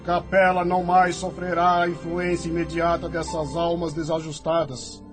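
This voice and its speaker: A man performing an exalted spirit leader of celestial legions, high in pitch for a male. He proclaims with grave authority in a radio-play manner, with clear music behind him. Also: monotone, moderate pace, natural voice. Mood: solemn, commanding. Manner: solemn, proclamatory. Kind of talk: spiritist audio drama. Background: prominent music.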